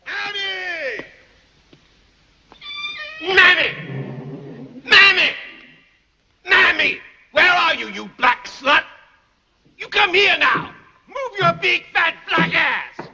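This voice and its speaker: An actor playing a furious man in his sixties who screams at his servants in a shrill voice. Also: expressive, slow, vocal fry, sharp tone, in a large hall, heavily acted, poor audio. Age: 60s. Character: enraged old male plantation master.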